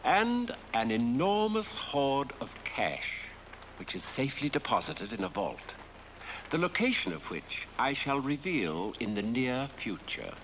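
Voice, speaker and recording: An actor playing a smug, wealthy dead husband who reads out his will in a theatrical, tender, drawn-out way. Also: mid-range voice, wildly swooping pitch, a bit bright, a little hiss or hum, poor audio. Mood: grand, savouring. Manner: theatrical, savouring, drawn-out delivery. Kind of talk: film drama monologue.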